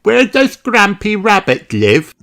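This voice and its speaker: A storybook reader voicing a cheerful, curious, excitable little girl pig. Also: mid-range voice, slow pace, vocal fry, background noise, voice pushed up, exaggerated voice. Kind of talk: children's storybook character voice.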